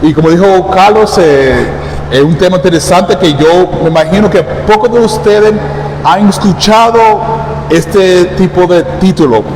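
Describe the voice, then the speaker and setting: A man preaching a sermon in Spanish with a Caribbean accent, reading scripture and praying, talking quickly with occasional stammers and restarts. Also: high voice, a little mellow. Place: church hall. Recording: echoey church hall, faint background noise.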